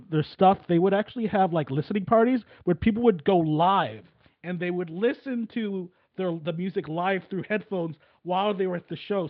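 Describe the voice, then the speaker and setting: High-voiced man on a tinny line. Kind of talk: casual interview chat.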